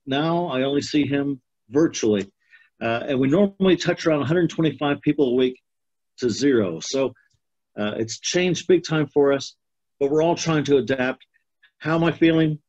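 A man's voice: a little high, normal speed, darkish tone. Plain-spoken middle-aged man on a dull call. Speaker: music program instructor. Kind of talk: casual video-call chat. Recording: slightly dull video-call audio.

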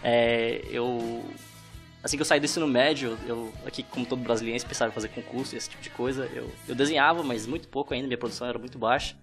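A man's voice: mid-range voice, fairly even intonation, fast talker, a bit bright. Brazilian guy w northeastern accent, chill, laughs a lot, trips over his words, faint music behind. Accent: Brazilian Portuguese, Northeastern-influenced from Ceará. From Brazil, born in Fortaleza, raised in Brasília. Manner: laid-back, self-deprecating, laughs often, frequent restarts. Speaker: guest illustrator. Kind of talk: casual podcast chat.

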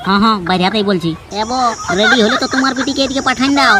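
A man voicing a hurried village matchmaker who grumbles and coaxes, in a rustic cartoon comedy with a Purulia Bengali accent. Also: very high voice, very noisy. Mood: brisk, coaxing. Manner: grumbling, coaxing.

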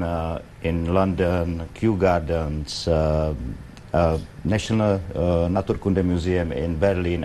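Czech-accented man, very low dark voice, hesitant studio guest, faint room hum. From Czech Republic. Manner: halting non-native English with many fillers. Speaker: pavilion guest. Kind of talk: studio TV interview. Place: TV studio set.

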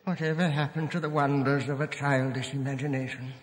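A man's voice: mid-range voice, flat delivery, a little fast, natural voice. A man playing a coaxing, boastful, sinister uncle figure in an audio drama, savouring his words theatrically. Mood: coaxing, gleeful. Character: sinister grandfatherly storyteller, self-styled uncle, coaxing and boastful. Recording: long echoing reverb, slight hiss.

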